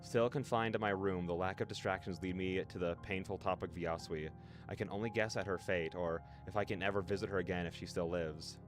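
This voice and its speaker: A man's voice: low-pitched, fairly even intonation, brisk. A man streaming a story game, reading narration aloud with feeling and reacting casually, with music behind him.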